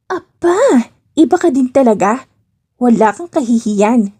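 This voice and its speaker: A woman's voice: a little high, animated, unhurried, dark tone, husky. A woman voicing a nosy young female office friend in an audio drama, teasing and scolding. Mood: teasing disbelief.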